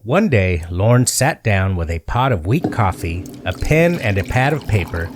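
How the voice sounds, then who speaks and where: A man delivering scripted comedic storytelling as narrator, in a deliberate, mock-earnest way with emphatic phrasing. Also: fairly low pitch, darkish tone, a little hiss or hum.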